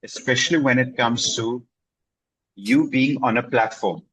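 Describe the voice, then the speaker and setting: A man's voice: mid-range voice, measured pace, fairly bright tone, breathy edge, no room sound, poor audio. A man working as an English tutor, chatting casually and encouragingly, often checking in with "right?" and "okay?". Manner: encouraging, repeats himself for emphasis with "okay?" and "right?". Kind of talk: casual video-call conversation.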